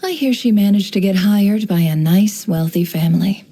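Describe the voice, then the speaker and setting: Mellow, wry, knowing woman spymaster played by an actress. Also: mid-range voice, lightly acted. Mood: knowing, musing. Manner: composed, wry, knowing, measured. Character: shrewd, worldly woman who runs an intelligence business. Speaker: video game character voice actor. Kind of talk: scripted game character voice lines, monologue.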